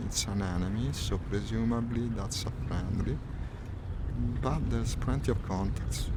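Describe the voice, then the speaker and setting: A man live-streaming a flight sim, chatting casually and thinking aloud with wry understatement. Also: fairly low pitch. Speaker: game streamer. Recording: clearly noisy background.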